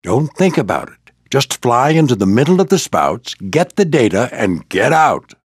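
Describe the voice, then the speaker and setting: An older American man voicing a proud, gruff old military plane who mentors a squadron in a video game, with a gravelly voice and a commanding, folksy manner. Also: medium pitch, big pitch swings, normal speed, dry recording, studio quality. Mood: determined, urgent. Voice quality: gravelly, husky. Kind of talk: scripted video game character lines.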